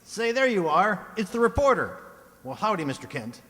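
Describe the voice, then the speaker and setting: An actor playing a folksy, excitable small-town police chief, full of exclamations, in a radio serial. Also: male voice, sits high, normal speed, heavily acted. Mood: hearty. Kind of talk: old-time radio serial acting. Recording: echoey hall.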